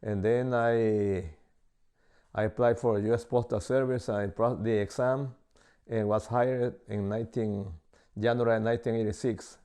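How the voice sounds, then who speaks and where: A man in his sixties from El Salvador, with a Salvadoran Spanish accent, answering interview questions in halting English full of pauses and fillers. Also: fairly low pitch, steady tone, mellow, clear, resonant tone. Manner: halting, many uh fillers and restarts.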